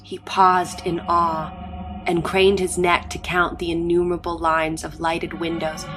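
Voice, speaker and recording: A woman's voice: on the low side, level tone, unhurried, over music, low-quality recording. A woman narrating an early science fiction story as a scripted dramatic reading.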